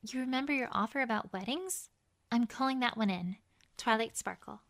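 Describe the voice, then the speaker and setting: A woman's voice: fairly high pitch, brisk, vocal fry, a bit bright. A woman voicing an aging scholarly female unicorn teacher, reading a letter in a reflective, articulate, affectionate way. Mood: earnest. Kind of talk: fan audio drama letter reading.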